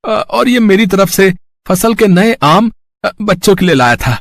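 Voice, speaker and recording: A man's voice: high-pitched, lively intonation, unhurried, a bit bright, no echo, studio quality, pitched above the performer's own voice, heavily acted. A man voicing an eager, respectful village underling in a moral-story cartoon. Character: eager, deferential male servant or villager.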